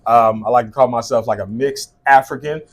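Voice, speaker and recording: A man's voice: medium pitch, steady tone, a little fast. Man, thirties, from South Sudan and Liberia, interview guest, earnest storytelling, lots of fillers. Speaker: guest, skincare business owner. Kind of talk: casual interview conversation.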